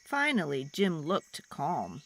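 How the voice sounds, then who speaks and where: A woman reading a children's picture book aloud as a storyteller, with an expressive, dramatic delivery. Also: low voice, slow pace, a little hiss or hum.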